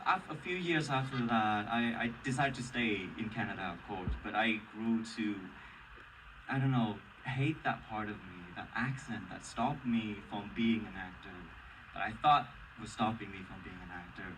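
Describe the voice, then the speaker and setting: A man's voice: on the low side, normal speed. A man with a Vietnamese accent, an actor on a stage panel, speaks earnestly and with emotion, often breaking off and restarting his sentences. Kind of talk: casual, personal panel Q&A answers. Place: large theater, Q&A on stage. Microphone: audience member's camera from the seats. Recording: distant, noisy audience camera recording.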